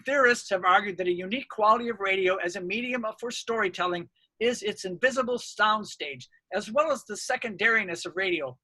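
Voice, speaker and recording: Guy presenting a research paper, pretty high bright voice, dry sound no room. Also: dry recording, low-quality recording. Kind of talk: scripted academic presentation, read aloud.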